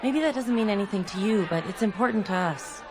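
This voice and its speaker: A woman's voice: medium pitch, animated, fast, crowd noise, noisy background, lightly acted. An actress voicing a fiery, suspicious young elf woman from a slum in a video game, blunt and urgent. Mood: worried, bitter.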